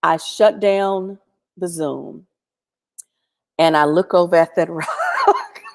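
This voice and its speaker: Woman guest, lowish pitch, strong loud voice, lively chatty storyteller on a video call. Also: slow pace, creaky. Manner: chatty, animated, acts out quoted voices. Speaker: featured guest. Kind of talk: storytelling testimony.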